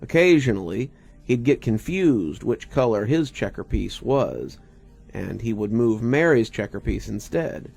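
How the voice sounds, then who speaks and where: A man narrates a story slowly and dramatically, with plenty of gravelly fry in a mid-pitched voice. Music runs underneath the narration. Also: lively intonation, darkish tone.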